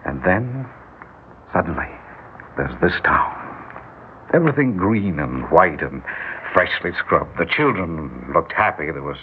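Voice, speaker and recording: A man's voice: sits low, lively intonation, a little hiss or hum. Earnest small-town man, tinny old radio. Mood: reflective. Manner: halting, wistful reminiscing, stammering when confused. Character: earnest small-town mayor, former drifter, devoted husband, growing bewildered. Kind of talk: old-time radio drama acting.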